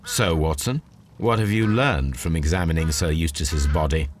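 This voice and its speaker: An actor playing a composed, analytical Victorian English gentleman detective in a video game, musing precisely and deliberately. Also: rumbling low, noisy background. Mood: inquisitive.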